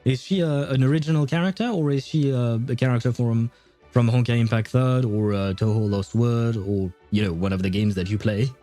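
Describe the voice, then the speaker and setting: A man streams a video game and chats with his viewers. His voice is mellow and mid-pitched, and he rambles hesitantly. Faint music plays behind him. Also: steady tone, fairly quick. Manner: rambling, hesitant, chuckles often. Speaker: livestreamer playing a game. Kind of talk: casual chat with viewers while gaming.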